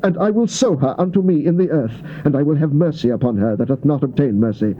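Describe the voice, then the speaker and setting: A man's voice: fairly high pitch, fast. A man giving a solemn, declamatory, theatrical dramatized reading of King James scripture as its narrator. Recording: dull, noisy old cassette tape.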